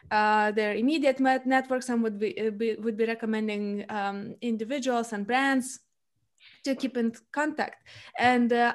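A young woman hosting a podcast interview, talking casually and stopping often for filler sounds. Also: on the high side. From Lithuania. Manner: hesitant, many filler sounds. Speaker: podcast host.

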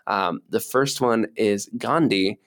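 A man's voice: on the low side, takes it slowly, a bit bright. A man co-hosting a podcast, explaining things in a casual, conversational style. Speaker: podcast co-host.

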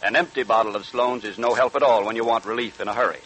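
A man reading a radio advertisement in a deliberate, emphatic 1940s announcer style. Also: mid-range voice, steady tone, fairly bright tone, slightly rough, low-quality recording. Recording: vintage 1940s radio recording.

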